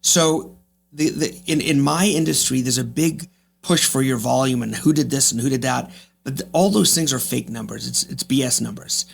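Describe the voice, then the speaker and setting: A man's voice: medium pitch, moderate pace, vocal fry, a little hiss or hum. A man in his fifties speaking as an interview guest, reflective and emphatic, repeating phrases for effect. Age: 50s. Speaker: podcast guest, real estate agent.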